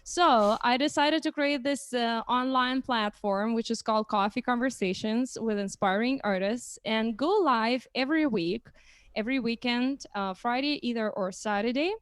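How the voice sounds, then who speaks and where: A woman's voice: a little high, moderate pace, clean tone, no room sound, clean studio sound. A young woman hosting a casual livestream chat, speaking hesitantly and often restarting phrases. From Lithuania. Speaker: host.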